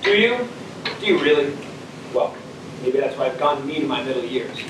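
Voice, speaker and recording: A man's voice: mid-range voice, big pitch swings, moderate pace, lightly acted. A young man on a school stage playing a mean, bitter astronaut who taunts his crew, in a theatrical delivery. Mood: bitter, scornful. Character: mean, bitter, taunting middle-aged male astronaut mutineer. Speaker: student actor. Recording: echoey stage, distant mic, faint noise.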